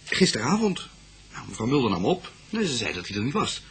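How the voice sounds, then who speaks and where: A man's voice: medium pitch, very animated, a little fast, a bit bright, slight background noise. An actor playing a young male student in a Dutch radio police drama, explaining things in a hesitant, informal, faltering way. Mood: uneasy, explaining.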